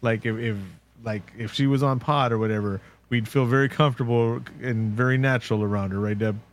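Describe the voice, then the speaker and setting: A man's voice: mid-range voice, steady tone, fairly quick. A man co-hosting a podcast, joking and often stammering on restarts. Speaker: podcast co-host. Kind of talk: casual podcast chat.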